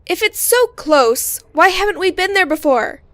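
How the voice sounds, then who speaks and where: A woman's voice: very high voice, lively intonation, moderate pace, fairly bright tone, no room sound. A woman playing a brash, outspoken little creature villager in an audio drama. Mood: indignant.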